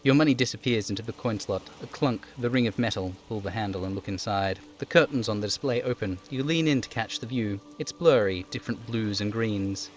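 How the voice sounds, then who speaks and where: A man's voice: fairly low pitch, fairly quick, hardly any pauses. A man streaming a game live, reading its narration aloud and chatting casually in between.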